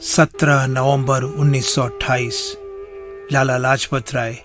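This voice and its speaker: A man's voice: medium pitch, flat delivery, takes it slowly. Solemn male narrator over music. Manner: solemn, emphatic. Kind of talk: dramatic scripted narration.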